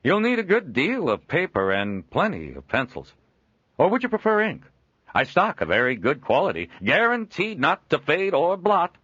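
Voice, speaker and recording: A man doing a booming jolly trader. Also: huge pitch swings, normal speed, a bit bright, slightly rough, no echo, highs cut off, full character voice. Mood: jovial. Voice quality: hearty, booming, laughs often. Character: jovial middle-aged male traveling trader.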